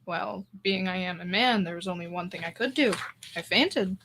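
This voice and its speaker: A woman's voice: on the low side, normal speed, fairly bright tone, a little hiss or hum, low-quality recording. A woman playing a wry, wisecracking male musician neighbour in an old-time radio comedy style. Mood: wry, grumbling.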